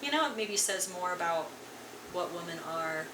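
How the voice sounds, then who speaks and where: A woman's voice: on the low side, moderate pace. Brief: young woman from Colorado, easygoing interview guest, filler-heavy, recorded far from the mic with background noise. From Colorado, USA. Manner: relaxed, filler-heavy, frequent "like". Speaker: guest musician. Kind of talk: casual interview conversation. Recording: echoey room, distant mic, noisy.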